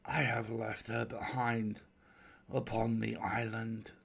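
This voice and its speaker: A man voicing a mysterious, starving old castaway in a story, with a breathy, husky whisper and halting, grave, archaic speech. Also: lowish voice, flat delivery, fairly bright tone, exaggerated voice. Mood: anguished, weak.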